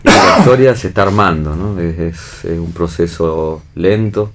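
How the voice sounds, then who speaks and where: Deep-voiced Argentine man in interview. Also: normal speed, a crowd in the background. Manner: seeks agreement with tag questions. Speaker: theatre and film director being interviewed. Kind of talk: casual explanatory interview talk.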